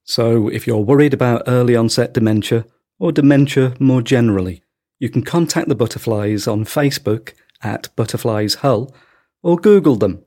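A man who is a news editor and host, reading scripted credits and asking interview questions in a steady, informative way. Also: medium pitch, moderate pace.

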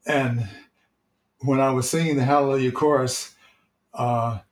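A church elder shares memories in an unhurried, gentle way, his mid-range male voice steady and warm in delivery. The recording carries a noticeable room echo around him. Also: medium pitch. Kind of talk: casual storytelling. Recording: roomy, echoey sound.

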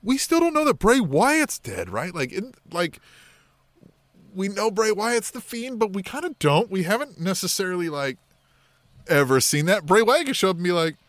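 A man's voice: high voice, very animated, normal speed, a bit bright, dry recording. A man co-hosting a wrestling podcast, rambling and speculating with lots of fillers. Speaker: podcast co-host. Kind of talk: casual wrestling chat.

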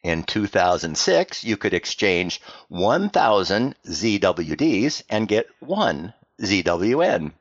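An American man delivering a scripted, explanatory podcast monologue in a plain-spoken way, with deliberate emphasis and rhetorical questions. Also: lowish voice, sing-song, husky, no room sound, muffled recording. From United States. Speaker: podcast host.

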